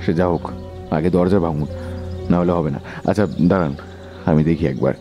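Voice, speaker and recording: An actor playing a shrewd detective in an audio drama, speaking in a calm, measured, politely firm way. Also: male voice, deep voice, lo-fi sound, soft background music, close to an everyday voice. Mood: decisive. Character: calm, commanding male detective, shrewd and composed.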